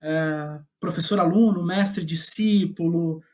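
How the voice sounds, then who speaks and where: A man with a Brazilian Portuguese accent speaking as an interview guest, casual and reflective, halting as he thinks aloud. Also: fairly high pitch, a little mellow. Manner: hesitant, halting, thinking aloud with repeated words. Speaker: podcast guest, playwright.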